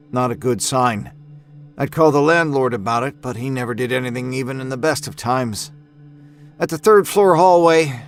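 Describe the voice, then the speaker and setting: A man narrating a scripted first-person horror story in a wry, understated, dramatic way. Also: medium pitch. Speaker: audio fiction narrator. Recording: narration over musical score.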